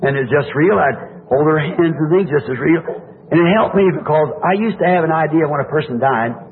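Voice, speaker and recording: An older man preaching to a congregation in a hoarse voice, folksy and rambling, asking the crowd questions. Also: on the high side, a little fast. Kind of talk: sermon. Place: church meeting. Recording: tinny lo-fi old tape with faint hiss.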